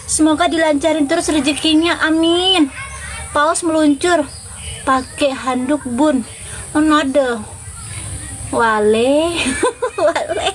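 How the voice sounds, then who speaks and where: A woman's voice: high-pitched, slow pace, long pauses. A woman chatting casually and informally with viewers as the host of a live stream. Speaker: livestream host.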